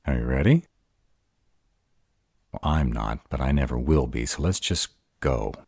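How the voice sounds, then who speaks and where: Guy doing a super low grumpy were-dragon boyfriend for romance roleplay, slow n hesitant, defensive, unsure of himself. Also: expressive, creaky, darkish tone, breathy edge, no room sound, studio quality, heavily acted. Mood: shy, relieved. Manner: hesitant, defensive, self-doubting. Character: grumpy, insecure male were-dragon nervously courting his mate. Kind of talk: romantic roleplay audio drama.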